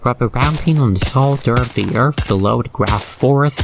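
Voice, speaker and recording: A man with a creaky voice reading a scripted second-person ASMR roleplay story, with gentle exclamations. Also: medium pitch, fairly quick, noisy background. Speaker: roleplay narrator.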